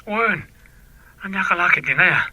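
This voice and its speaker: Bright, forceful Ilocano boyfriend. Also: male voice, sits high, expressive, normal speed, no room sound, exaggerated voice, low-quality recording. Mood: placating. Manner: placating, insistent. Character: Danny, smooth-talking young male philandering boyfriend, defensive. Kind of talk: Filipino radio drama acting.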